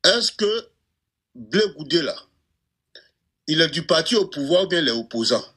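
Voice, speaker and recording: A man in a casual debate, asking measured questions one after another. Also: a little high, very animated, sharp tone, husky.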